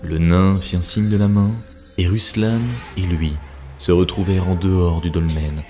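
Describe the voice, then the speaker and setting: A man reading scripted narration for a cartoon story in a solemn, deliberate manner. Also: deep voice, steady tone, mellow. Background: background music.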